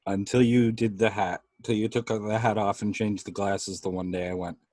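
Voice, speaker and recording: A man hosting a casual interview chat, with a deep bass voice and a halting, filler-heavy way of talking. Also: level tone, darkish tone, no room sound. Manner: halting, many fillers and restarts, laughs often. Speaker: podcast host.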